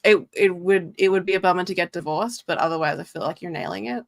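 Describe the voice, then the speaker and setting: A woman's voice: fairly low pitch, quick pace, creaky, dry recording. A woman co-hosting a casual livestream chat, earnest and rambling as she works through ideas. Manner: rambling, many "like" fillers, earnest.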